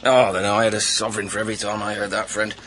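A swaggering highwayman from a radio drama, acted by a man, with a sharp, forceful edge. He taunts in florid, archaic phrases with rough grammar and playful menace. Some ambient noise sits behind him. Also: flat delivery, fast, lightly acted. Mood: theatrical boasting.

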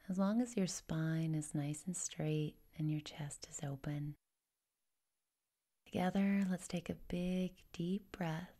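A woman guides a meditation in a soft, mellow voice that sits on the low side. Her delivery is very slow and gentle, with a husky, whispery breathiness and a creaky edge. She gives each instruction in a soothing, unhurried way.

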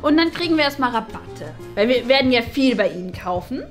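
A woman's voice: fairly high pitch, lively intonation, a little fast, faint music behind, close to an everyday voice. Woman playing a pushy bargaining teacher. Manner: insistent, bargaining. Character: bossy haggling female teacher, grey-haired wig, glasses. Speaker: teacher in a comedy sketch. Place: bright staff room indoors.

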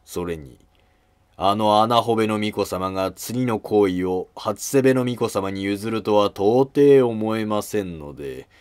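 A man playing a deferential, scheming middle-aged minister of ancient Japan in a historical drama, with measured, formal archaic honorifics. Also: lowish voice, no echo, close to an everyday voice.

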